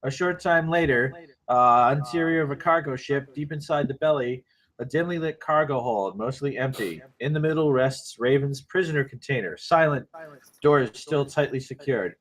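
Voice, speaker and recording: A man narrating a script at a table read in measured, short phrases. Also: mid-range voice, fairly bright tone, low-quality recording. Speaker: narrator reading stage directions.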